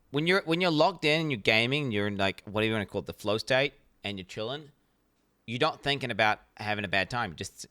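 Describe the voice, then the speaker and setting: NZ-accented male streamer, profane and rambling, crisp clear tone. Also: medium pitch, pushed and bright, no room sound. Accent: New Zealand. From New Zealand. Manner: blunt, profane, rambling with many restarts. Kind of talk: casual reaction stream commentary.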